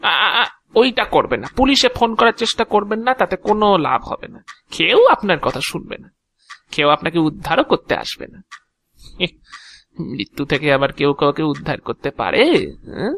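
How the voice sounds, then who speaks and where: A man's voice: fairly high pitch, big pitch swings, moderate pace, gravelly, long pauses, a bit bright, no echo, full character voice. A man playing a touchy, self-important agent of Death in an audio drama, with a theatrical, indignant manner. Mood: warning. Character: touchy, officious agent of Death, proud of his job.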